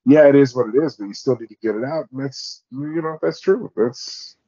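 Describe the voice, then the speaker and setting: A man's voice: mid-range voice, moderate pace, dark tone, muffled recording. A man in his forties co-hosting a podcast, speaking casually and hesitantly, often restarting. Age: 40s. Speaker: podcast co-host.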